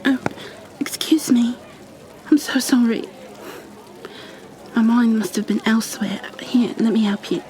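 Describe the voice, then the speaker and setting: A woman playing a kind, flustered young bookkeeper in a fantasy ASMR roleplay, speaking softly and breathily, often near a whisper, with gentle hesitations and apologies. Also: a little high, measured pace, pauses often, background noise, lightly acted. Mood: flustered, apologetic. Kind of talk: ASMR roleplay, addressed to the listener.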